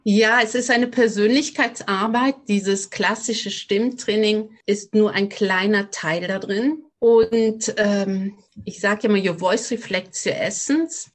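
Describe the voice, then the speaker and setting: A woman's voice: medium pitch, steady tone, measured pace. Earnest middle-aged woman with a slight Rhineland accent. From near Cologne, Germany. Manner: reflective, earnest, speaks of voice work with conviction. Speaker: voice coach and opera singer, guest. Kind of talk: podcast interview.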